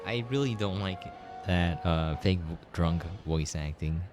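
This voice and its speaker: A man's voice: sits low, a little slow, a little mellow, faint music behind. A man giving casual commentary while he plays through a game. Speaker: game preview player.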